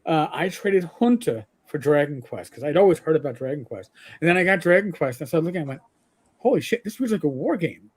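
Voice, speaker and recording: A man's voice: on the high side, animated, a little fast, darkish tone, breathy edge. A middle-aged man co-hosting a gaming livestream, rambling with stammered restarts and the odd swear. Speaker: livestream co-host. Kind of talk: casual gaming chat.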